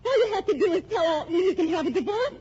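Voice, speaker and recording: A woman's voice: very high-pitched, lively intonation, fast talker, a little mellow. A woman playing a desperate, cornered wife in an old-time radio thriller, melodramatic and tearful, swinging between pleading and defiance. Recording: dull lo-fi old radio recording.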